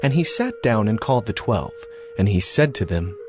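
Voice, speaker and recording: A man's voice: lowish voice, sing-song, normal speed, soft background music. A man narrating scripture from a script as the storyteller of a dramatized audio reading.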